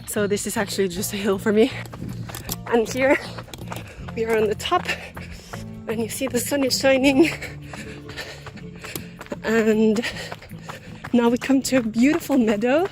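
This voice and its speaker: Woman, Austrian accent, slightly high voice, chatty and halting, running outdoors, faint music. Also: poor audio. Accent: Austrian German. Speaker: runner guiding a trail tour. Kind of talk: casual walk-and-talk to camera. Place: outdoors, forest trails and park.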